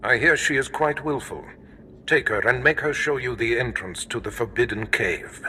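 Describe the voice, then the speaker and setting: An actor playing a haughty, scheming older Sith lord in a video game, speaking in an imperious, ominous and formal manner. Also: male voice, medium pitch, normal speed, sharp tone, slight background noise. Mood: grave, commanding. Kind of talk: scripted video game drama acting.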